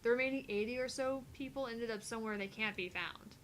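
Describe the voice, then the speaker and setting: A woman's voice: on the high side, fairly quick, fairly bright tone, a little hiss or hum, natural voice. A woman playing an intelligent, slightly prickly young scientist in a visual novel, explaining things in a precise, matter-of-fact way. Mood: analytical.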